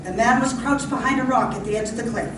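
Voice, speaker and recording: Very noisy distant stage recording, fairly high female voice, dramatic radio-play narrator, scripted storytelling. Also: fast, roomy sound. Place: live stage performance in a room.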